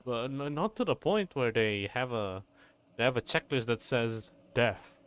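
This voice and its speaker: A man's voice: medium pitch, animated, measured pace, a bit bright, clean tone. A man streaming a game, reacting casually with profane, rambling, stop-start comments. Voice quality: rambling, profane, stammering restarts.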